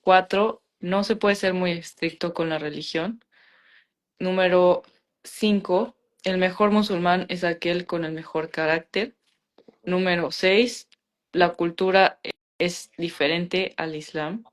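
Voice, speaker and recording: A woman with a somewhat low voice co-hosts a casual live chat. She talks conversationally, pausing on fillers and ending points with little tag questions. Her voice comes through a video call. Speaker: co-host of a live talk show.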